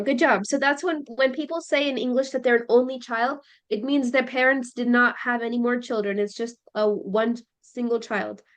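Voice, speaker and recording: A woman teacher leading a listening lesson, explaining slowly and checking in with her students. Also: on the high side. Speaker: English teacher.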